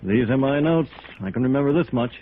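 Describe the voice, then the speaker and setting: An actor playing a wary, resigned witness who is afraid to speak up in a 1950s radio drama, hesitant and weary. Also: male voice, medium pitch, lively intonation, brisk, a little hiss or hum, lightly acted. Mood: cautious. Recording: thin, narrow-band old radio recording.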